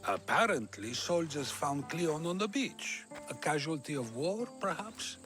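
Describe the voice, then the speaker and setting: An actor playing a thoughtful male philosopher in a video game cutscene, speaking in a measured way. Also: on the high side, expressive, fairly bright tone, faint music behind. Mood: calm. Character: older male philosopher, wise and questioning. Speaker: game character.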